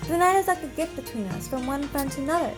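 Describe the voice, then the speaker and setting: A woman's voice: high voice, animated, fast, music in the background, pitched above the performer's own voice. A woman voicing an earnest, trusting young child, naive and insistent, in a hobby read-aloud of a game story. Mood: earnest, hopeful. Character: earnest, trusting young child who believes in good monsters.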